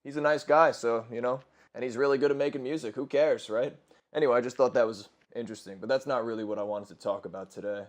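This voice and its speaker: A man's voice: medium pitch, fairly even intonation, fast talker. A man in his twenties telling crude, rambling stories with lots of swearing and self-corrections over gameplay. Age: 20s. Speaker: gaming commentator. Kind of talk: casual storytelling over gameplay.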